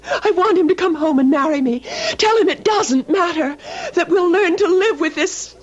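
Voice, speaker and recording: Earnest lovesick young woman in old radio play, high voice, halting, muffled noisy recording. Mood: pleading. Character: anxious, devoted young sister pining for her fiancé. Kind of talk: old-time radio drama acting.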